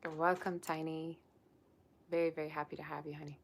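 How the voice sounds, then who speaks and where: A woman's voice: low voice, level tone, fairly quick, creaky, slight background noise. A young woman, a psychic tarot reader, chatting casually on a livestream and reading viewer comments aloud. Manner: chatty and rambling, with many "like" fillers.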